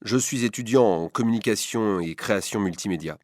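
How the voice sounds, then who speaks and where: Lowish-voiced man in his twenties. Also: brisk, darkish tone, dry recording, clean studio sound. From Brittany, France. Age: 20s. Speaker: student being interviewed. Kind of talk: prepared personal account in an interview.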